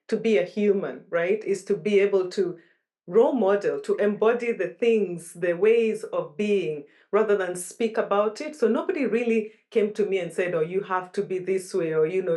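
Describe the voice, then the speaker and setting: A woman's voice: mid-range voice, darkish tone. Thoughtful woman, reflective guest. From Kenya. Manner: thoughtful, searching for words with restarts. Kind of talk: reflective interview talk.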